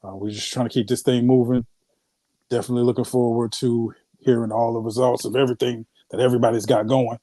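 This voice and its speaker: A man co-hosting a podcast, giving shout-outs in a halting way with many fillers. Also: medium pitch, flat delivery, dry recording. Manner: hesitant, lots of "uh" and "um" while giving shout-outs. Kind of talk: casual podcast chat.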